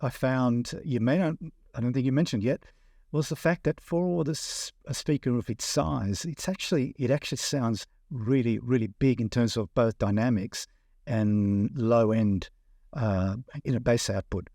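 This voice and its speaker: Dry-sounding male interviewer, medium pitch. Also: a little slow, dark tone, no echo. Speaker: interviewer, audio magazine editor. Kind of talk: conversational interviewing.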